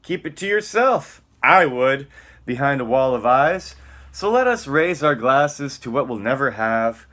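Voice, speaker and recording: A man's voice: medium pitch, sing-song, unhurried, a bit bright. A man giving a dramatic, theatrical spoken-word reading, moving between emphatic lines and muttered casual asides.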